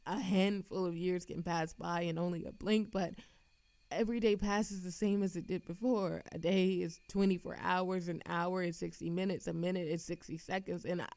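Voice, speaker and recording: A woman playing a lovesick, defensive immortal in an audio roleplay, speaking in a halting, emotional way to the listener. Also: fairly low pitch, fairly even intonation, a little mellow, lightly acted. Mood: anguished confession. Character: lovesick immortal woman, defensive, reckless, confessing to a Grim Reaper. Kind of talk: audio roleplay monologue, acted intimately to the listener.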